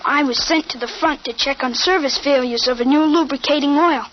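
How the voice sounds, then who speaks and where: A woman playing a calm, brainy thirteen-year-old boy. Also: high-pitched, brisk, natural voice. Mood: explaining. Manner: calm, articulate, matter-of-fact. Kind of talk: 1950s radio drama acting. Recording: dull old radio broadcast with faint hiss.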